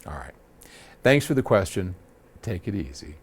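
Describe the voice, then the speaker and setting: A man's voice: low voice, big pitch swings, normal speed, husky. A man hosting a casual advice talk, speaking in a folksy, conversational way with rambling asides. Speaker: host answering audio questions.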